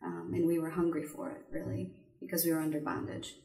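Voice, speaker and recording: Studio guest, woman, from Bulgaria; low voice, measured delivery; roomy, off-mic sound. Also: flat delivery, gravelly, mellow, distant mic. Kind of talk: telling her life story in an interview.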